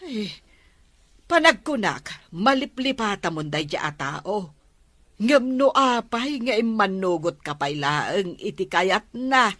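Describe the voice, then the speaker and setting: A woman's voice: medium pitch, sing-song, takes it slowly, a bit bright, dry recording, full character voice. Woman as weary, reproachful Filipina mother, dry sound. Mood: worried. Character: worried, disapproving mother of a grown daughter. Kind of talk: emotive audio drama acting.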